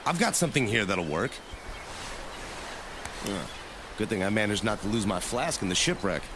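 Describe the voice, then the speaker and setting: A man's voice: lowish voice, big pitch swings, moderate pace. A man acting in an audio drama as a practical, blunt castaway who takes charge. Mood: brisk, practical. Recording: noisy outdoor ambience.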